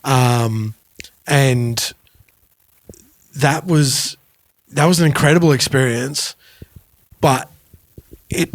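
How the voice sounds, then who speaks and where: A man's voice: mid-range voice, extremely slow, pauses often, no echo. A man co-hosting a podcast, telling anecdotes in a casual, informal way. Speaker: podcast co-host. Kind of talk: casual music podcast chat.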